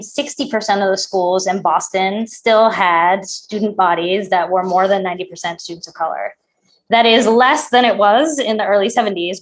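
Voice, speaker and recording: An American woman moderating a panel, framing questions in a measured, reflective way. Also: medium pitch, poor audio. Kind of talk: thoughtful discussion moderating.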